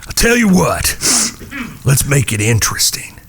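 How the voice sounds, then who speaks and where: Man acting a husky, slow, menacing Western gunslinger. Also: mid-range voice, big pitch swings, a bit bright, exaggerated voice. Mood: taunting. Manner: slow, taunting drawl, profane. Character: vengeful Western gunslinger, coldly taunting, menacing. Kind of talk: audio drama acting.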